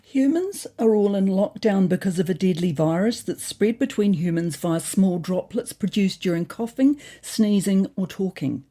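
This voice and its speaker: A woman acts out a puffed-up female cat who knows everything. She lectures precisely in a husky storybook voice, with a lively rise and fall. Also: medium pitch, moderate pace, hardly any pauses, lightly acted. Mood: knowledgeable. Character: knowledgeable, know-it-all female cat friend.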